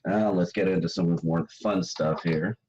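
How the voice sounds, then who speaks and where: A hoarse man with a very deep, husky voice, fighting off a cold. He talks unhurriedly and plainly, showing his coins to the hosts. His voice comes through a dull, lo-fi video call. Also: steady tone, a little slow, a little mellow. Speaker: guest coin collector. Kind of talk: casual show-and-tell chat.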